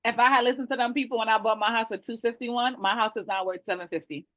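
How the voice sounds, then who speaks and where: Blunt, animated Jamaican woman realtor. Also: a little high, fast talker, no echo. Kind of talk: webinar panel talk. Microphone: video call.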